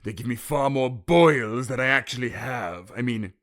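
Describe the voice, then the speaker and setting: A man voicing a smug, theatrical, boil-covered prisoner in a comedic radio play, talking like a pompous old-fashioned gentleman. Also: medium pitch, moderate pace, close to an everyday voice. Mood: smug, aggrieved.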